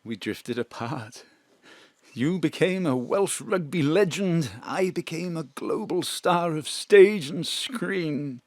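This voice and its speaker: An actor playing a man who lives as a famous female stage star, frightened and on the run, speaking in a slow, grand manner. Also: a little high, lively intonation, no room sound. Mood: wistful. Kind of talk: audio drama acting. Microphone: home studio mic.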